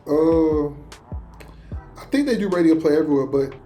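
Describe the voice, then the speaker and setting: A man's voice: on the high side, animated, moderate pace, warm tone, faint music behind. A man chatting casually in a slangy, conversational way as host of a reaction show.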